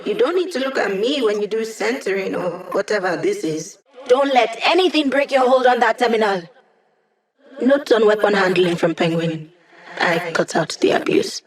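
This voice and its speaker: A woman's voice: mid-range voice, lively intonation, moderate pace, natural voice. A young woman voicing a wry, quippy digital hacker who gives mission support in a video game, in a conversational way. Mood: focused, urgent.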